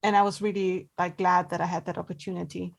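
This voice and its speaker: A woman in a casual interview as an actor guest, talking conversationally in halting, hesitant phrases. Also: on the low side, little pitch movement, vocal fry.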